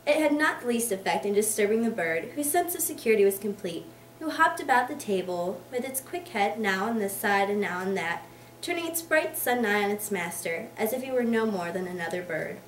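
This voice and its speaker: A woman's voice: mid-range voice, lively intonation, fairly quick. A young woman in her teens, a student, giving a scripted literary reading as narrator. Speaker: student reader. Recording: echoey room, distant mic, faint noise.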